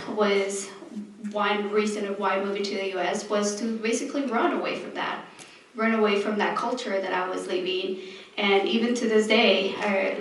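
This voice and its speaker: A woman's voice: medium pitch, a bit bright, slight background noise. Woman w/ a mexican accent talking on a panel, lots of ums, far from the mic in a big echoey hall. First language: Spanish. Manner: hesitant, many pauses and ums. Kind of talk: earnest personal account on a panel.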